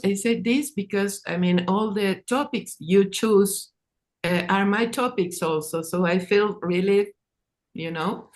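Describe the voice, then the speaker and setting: A woman, not an English speaker, presenting her own work in a halting, informal way, repeating words. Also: fairly low pitch. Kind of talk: informal artist talk and reading.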